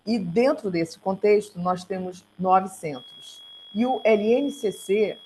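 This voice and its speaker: A woman with a Brazilian Portuguese accent gives a slide presentation on an online call, speaking haltingly and correcting herself as she goes. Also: medium pitch, darkish tone. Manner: halting, self-correcting delivery. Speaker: research centre coordinator giving a talk. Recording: noisy online call with hum.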